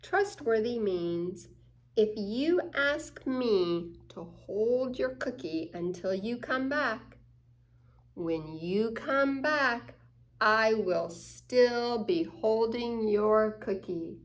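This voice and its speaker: A woman's voice: a little high, expressive, very slow. A woman hosting a children's story time, reading a picture book aloud and explaining it with questions to the young listeners.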